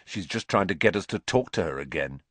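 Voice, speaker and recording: A man's voice: sits low, expressive, brisk, slightly rough, no room sound. A man voicing a blunt, easily irritated teenage boy who snaps at a friend. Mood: resentful. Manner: snappish, resentful.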